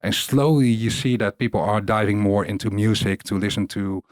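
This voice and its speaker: Amsterdam-accented man, low and relaxed. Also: moderate pace, no echo, clean studio sound. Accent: Dutch Amsterdam. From Netherlands. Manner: relaxed, rambling, laughs often, many fillers. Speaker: DJ and producer guest. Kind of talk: casual interview chat.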